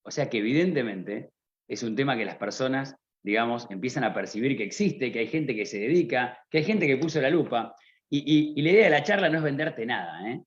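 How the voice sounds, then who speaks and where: A man's voice: mid-range voice, lively intonation, a little fast. A man from Argentina with an Argentine accent, giving a motivational business talk at a conference, often asking the audience rhetorical questions. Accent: Argentine Spanish. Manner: rhetorical questions, addresses listener directly. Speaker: conference speaker. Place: conference stage. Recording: slightly dull lo-fi sound.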